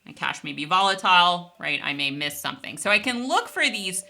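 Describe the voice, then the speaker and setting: Female tech instructor, slightly low pitch with a very bright, sharp tone; conversational, emphatic explainer delivery. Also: very animated, brisk, vocal fry. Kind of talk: technical software lesson, conversational explaining.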